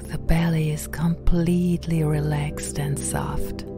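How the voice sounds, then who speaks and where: A woman with a low voice leads a guided meditation over clearly audible music. She speaks very slowly and softly, with a soothing, gentle delivery that turns breathy in places. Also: level tone. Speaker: meditation guide and podcast host. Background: background music.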